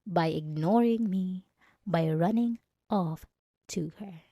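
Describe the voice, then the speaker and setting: A woman's voice: on the low side, slow, gravelly, husky, no room sound, clean studio sound, heavily acted. A woman playing a jealous, possessive young female bully in an intimate ASMR roleplay, menacing and taunting as she blackmails her crush. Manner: menacing, taunting, swinging between soft and agitated.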